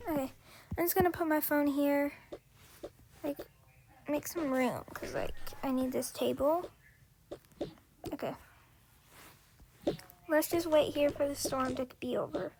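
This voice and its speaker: A woman's voice: sits high, unhurried, gravelly, pauses often. A woman improvising a pretend-play story, talking through each small action, with faint noise and clicks behind. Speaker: creator voicing all characters of a toy-app role-play story.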